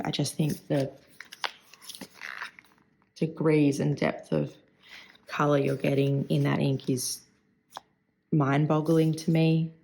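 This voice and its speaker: A woman with a croaky voice today, thinking aloud in a chatty, rambling review while she swatches ink at her desk. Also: deep voice, mellow, poor audio. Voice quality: vocal fry. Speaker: stationery vlogger.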